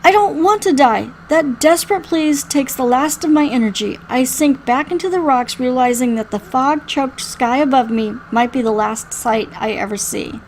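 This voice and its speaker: A woman reading a first-person story aloud in an expressive, dramatic way. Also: sits high, moderate pace, few pauses, slight background noise, low-quality recording. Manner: expressive, acts out the dialogue. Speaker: reader playing through a visual novel.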